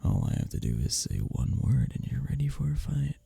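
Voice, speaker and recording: A man playing a smug, taunting office rival in an intimate roleplay audio drama, sardonic and teasing, with a husky low voice. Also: wildly swooping pitch, a little fast, dark tone, no room sound, whispered, clean studio sound, full character voice. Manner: sardonic, teasing, needling, with breathy chuckles.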